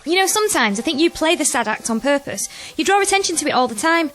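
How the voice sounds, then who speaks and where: A woman's voice: sits high, lively intonation, quick pace, fairly bright tone, soft background music. An actress playing a frank, supportive young woman, blunt and teasing, in an audio drama. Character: frank, supportive young female friend, secretly an operative.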